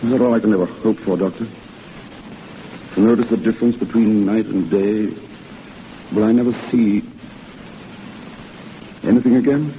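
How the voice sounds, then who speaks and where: An actor playing a blinded war veteran, proud and resigned, with measured, polite delivery in a 1940s radio drama. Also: male voice, fairly low pitch, lightly acted. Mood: stoic, polite. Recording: tinny, hissy old radio broadcast.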